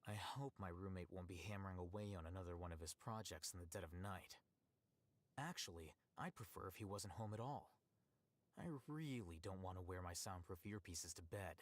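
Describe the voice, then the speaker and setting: Deadpan, low-voiced aloof young scholar, acted by a man. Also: dry recording, lightly acted. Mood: aloof, matter-of-fact. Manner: deadpan, measured, precise, detached delivery. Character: aloof, calm, bookish young male scholar who shuns company. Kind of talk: scripted video game voice lines.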